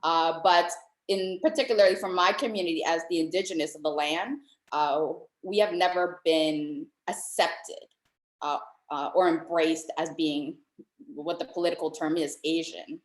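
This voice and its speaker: A woman's voice: low-pitched, fairly even intonation, normal speed, echoey room, low-quality recording. A woman from Southeast Asia speaking as a panelist, telling her story earnestly. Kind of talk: panel discussion.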